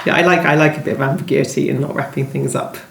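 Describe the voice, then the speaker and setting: A woman's voice: low voice, steady tone, fast talker, creaky, slight background noise. A woman novelist being interviewed, talking conversationally and thinking aloud, often hesitating and rephrasing. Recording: roomy, echoey sound.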